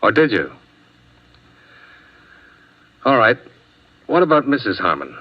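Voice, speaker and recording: An actor playing a blunt, wry insurance investigator who presses people tersely, in 1950s radio drama style. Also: male voice, mid-range voice, very animated, brisk. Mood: probing, insistent. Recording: dull old radio recording.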